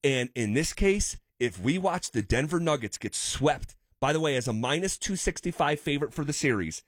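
A male sports radio host talks through his analysis at a medium pitch, often restarting and repeating words as he builds a point. The studio sound is close and dry, with no room around him. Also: no room sound. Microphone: studio microphone.

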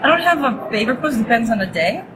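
A woman's voice: a little high, sing-song, fast talker, rough recording. Upbeat Israeli-raised woman, crowd behind. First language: Hebrew. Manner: loose, restarting, upbeat and laughing easily. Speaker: yoga teacher, guest. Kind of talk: casual interview chat.

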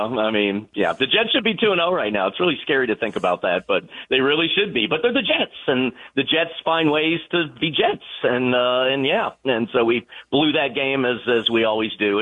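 A man calling in to a sports radio show, excitable and rambling, laughing often, his voice climbing when he gets worked up. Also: medium pitch, rough recording. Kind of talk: casual sports radio chat. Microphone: phone or remote line from a hotel room.

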